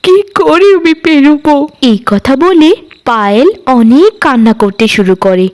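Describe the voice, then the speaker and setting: A woman narrator reading a scripted fairy tale for a cartoon. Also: mid-range voice.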